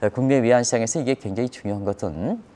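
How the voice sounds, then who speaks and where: A man's voice: mid-range voice, quick pace, warm tone. A man giving live financial news commentary, explaining step by step, repeating key terms and putting rhetorical questions to viewers. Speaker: economic commentator.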